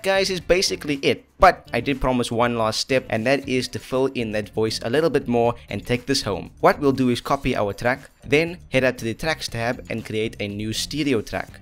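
Chatty young man hosting a tutorial. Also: mid-range voice. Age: young adult. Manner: jokey, self-deprecating, chatty. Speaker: host of a video effects tutorial channel. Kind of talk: casual tutorial presentation. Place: small dim room indoors.

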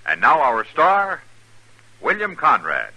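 A man's voice: mid-range voice, wildly swooping pitch, slow, pushed and bright, slightly rough, rough recording. An American man announcing in scripted 1950s radio style. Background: faint music.

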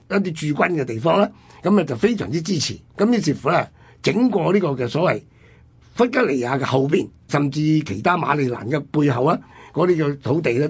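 A man's voice: fairly high pitch, husky. A man with a Hong Kong Cantonese accent, telling history conversationally as a radio co-host.

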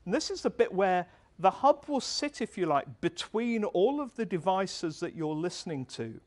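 Middle-aged man giving a tech talk. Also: on the high side. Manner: conversational, earnest, gestures while presenting. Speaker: speaker at a tech meetup. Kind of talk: conference talk. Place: large dark event hall.

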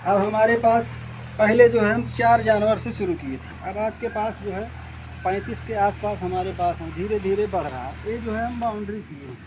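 A man's voice: high-pitched, moderate pace. A man in his thirties showing visitors around his goat farm, explaining plainly and leaning on the same filler phrase over and over. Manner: plain, repeats filler "jo hai" often. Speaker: goat farmer giving a farm tour. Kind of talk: casual walking tour explanation. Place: outdoors on a rural farm among fields and brick sheds. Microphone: phone camera held by someone else, moving. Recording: loud outdoor noise with insects.

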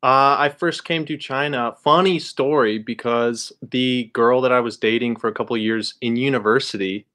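A man's voice: mid-range voice, moderate pace, hardly any pauses. A young man, talking easily on a video call from a room at home, wearing earbuds. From Dallas, Texas, United States. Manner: relaxed, easygoing, hedging with uh. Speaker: YouTuber guest. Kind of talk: casual chat. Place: room at his mother's house.

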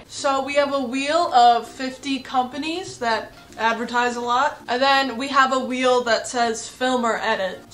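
A young woman with a bright, slightly raised voice chats to camera from her bedroom, joking at her own expense and giggling between lines. Soft music plays underneath. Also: a little high. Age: young adult. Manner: chatty, self-mocking, giggly. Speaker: comedy YouTuber. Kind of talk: casual chat to camera. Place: small bedroom.

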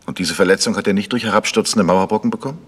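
Ein Schauspieler, der in einem Krimihörspiel einen selbstsicheren, bohrend fragenden Amateurdetektiv spielt. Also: male voice, lowish voice, racing, husky, long reverb tail. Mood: confident. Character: probing, self-assured male amateur detective. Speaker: amateur detective. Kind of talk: vintage German radio crime drama acting.

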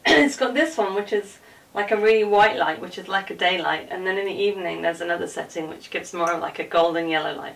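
A woman's voice: fairly low pitch, gravelly. A woman in her forties chatting casually and informally as a vlogger, with rambling asides as she moves about a room at home. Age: 40s. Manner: informal, rambling asides while moving about showing things. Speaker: vlogger giving a room tour. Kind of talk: casual chatty vlog talk. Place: indoors, small home dressing room. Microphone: camera a few feet away. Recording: distant camera mic, background noise and clicks.

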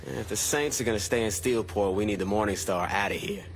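A man voicing a blunt, low-voiced gang leader. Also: fairly quick, slight background noise, natural voice. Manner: blunt, profane, streetwise. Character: tough male gang leader, blunt and profane. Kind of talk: video game cutscene acting.

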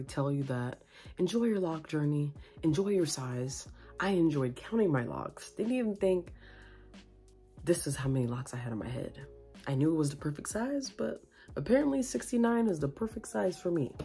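A woman's voice: low voice, dark tone. A young woman vlogging from home into a phone on a tripod, chatty and rambling, tired late at night. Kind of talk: casual late-night vlog chat. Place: small room at home, indoors.

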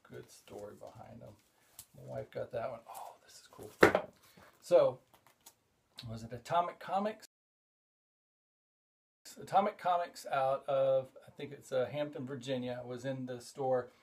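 A middle-aged man talks through his collection in an easygoing, rambling way. He trails off and restarts with plenty of "uh"s, and gets excited over each find. His voice sits in an ordinary middle pitch, picked up by a camera set a few feet back in a cluttered hobby room. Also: creaky. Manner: rambling and enthusiastic, frequent "uh" fillers. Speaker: comic collector and show host. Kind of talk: casual show-and-tell vlog.

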